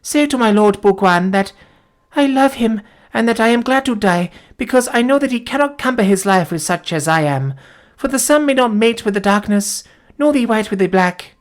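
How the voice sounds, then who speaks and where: A woman's voice: fairly high pitch, animated, normal speed, pitched above the performer's own voice, natural voice. A woman voicing a devoted young woman who is dying, speaking tenderly in faint, gasping breaths. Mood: tender, fading. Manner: gasping, tender, archaic formal speech.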